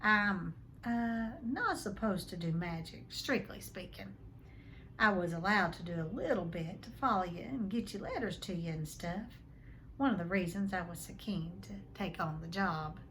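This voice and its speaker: A woman voicing a gruff, big-hearted giant gamekeeper with rough, colloquial speech in a storybook read-aloud. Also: on the low side, normal speed, fairly bright tone, a little hiss or hum, lightly acted. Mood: rueful.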